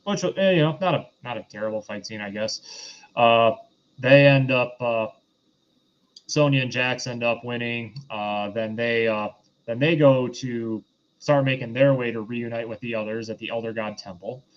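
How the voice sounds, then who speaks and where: A man hosting a film review show in casual chat, talking in a halting, loosely conversational way and often backtracking. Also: medium pitch, muffled recording.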